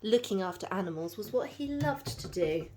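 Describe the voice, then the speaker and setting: A woman's voice: mid-range voice, expressive, normal speed, mellow. A woman reading a children's story aloud, like a teacher addressing young listeners.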